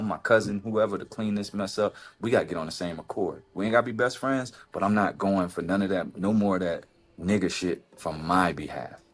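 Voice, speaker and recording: Husky, impassioned man. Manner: impassioned, rhetorical questions, swings to high exclamations. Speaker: podcast co-host. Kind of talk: casual opinion talk.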